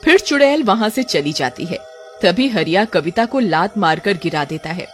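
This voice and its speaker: A woman's voice: lowish voice, animated, moderate pace, faint music behind. A woman narrator reading a scripted children's cartoon story.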